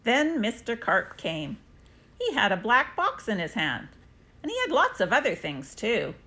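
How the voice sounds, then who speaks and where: A woman reading a children's story aloud expressively, with deliberate, dramatic emphasis. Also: on the high side, wildly swooping pitch, bright, forceful tone. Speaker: storybook reader.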